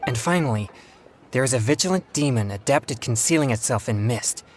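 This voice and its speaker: An actor playing a polite, earnest young male exorcist in a video game, speaking in formal, courteous, measured phrases. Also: mid-range voice, animated, slight background noise, natural voice. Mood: earnest, pondering. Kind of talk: scripted video game dialogue.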